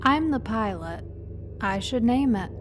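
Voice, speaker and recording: A woman's voice: fairly high pitch, animated, unhurried, over music. A woman playing an exasperated, sarcastic mercenary pilot with a blunt manner. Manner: blunt, sardonic.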